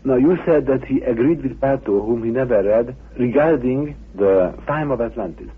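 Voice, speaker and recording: Very husky male interviewer on tinny radio. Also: mid-range voice, normal speed. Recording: tinny, band-limited old radio recording.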